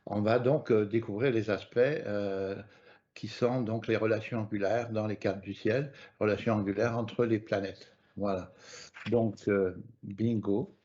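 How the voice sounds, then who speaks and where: A man's voice: lowish voice, fairly even intonation, unhurried, dark tone, lo-fi sound. A man from France teaching astrology in a live online lesson, hesitant and often repeating words.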